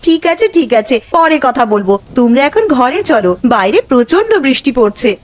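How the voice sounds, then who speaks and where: Woman voicing a high, fast-talking village housewife. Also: very animated. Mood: fond fussing. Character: bossy village wife, daughter and mother. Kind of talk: animated cartoon dialogue.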